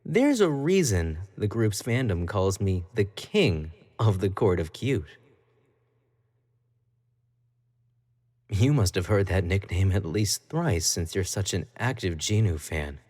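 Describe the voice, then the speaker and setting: A man's voice: medium pitch, a little mellow, close to an everyday voice. An actor playing an obsessive, clingy young male pop idol in an audio roleplay, talking to the listener in a coy, playful, doting way. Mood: jealous, possessive. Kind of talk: scripted roleplay monologue to the listener.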